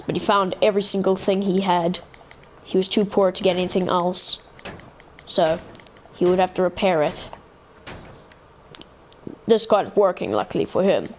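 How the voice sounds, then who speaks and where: A woman hosting a gaming video, telling a game backstory in a slow, halting way. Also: lowish voice, darkish tone, a little hiss or hum. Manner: slow, halting storytelling narration. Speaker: gaming channel host.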